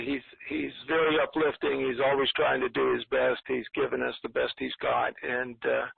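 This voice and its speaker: A man calling in to a talk line, speaking in a hesitant, earnest, gloomy and rambling way with a gravelly, husky voice. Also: medium pitch, dry recording. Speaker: caller. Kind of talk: rambling call-in commentary. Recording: narrow muffled phone-line sound.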